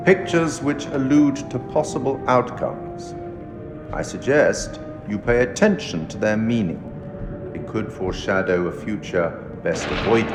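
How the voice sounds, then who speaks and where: An actor playing a mysterious, ominous curator of tales in a video game, speaking in a grave, formal, theatrical manner. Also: male voice, fairly low pitch. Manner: grave, deliberate, cryptic, formal. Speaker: narrator addressing the player. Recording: echoey hall with loud music behind.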